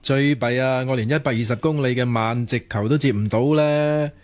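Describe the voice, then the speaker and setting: An actor with a Hong Kong Cantonese accent playing a lovelorn, earnest young student programmer in radio drama dialogue, wry, sighing and self-mocking. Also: male voice, mid-range voice, moderate pace, lightly acted.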